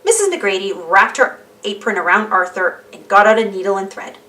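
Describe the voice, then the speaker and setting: Animated, bright-voiced woman reading a storybook. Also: mid-range voice, normal speed, distant mic. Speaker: storybook reader. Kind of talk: animated children's read-aloud narration.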